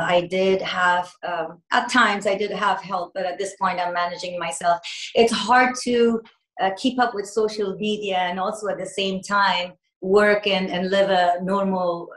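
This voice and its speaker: A woman's voice: mid-range voice, a bit bright, echoey room. A woman singer raised in America answering interview questions in casual talk, hesitant with many fillers and restarts. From United States.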